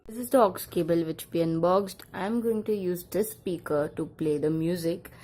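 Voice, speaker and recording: A woman with a mellow, slightly low voice presents a casual product demonstration. She talks in brief, simple phrases and often repeats them as she points things out. The tone is relaxed and dark rather than sharp. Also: moderate pace. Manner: simple, repetitive short phrases while demonstrating. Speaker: product review vlogger.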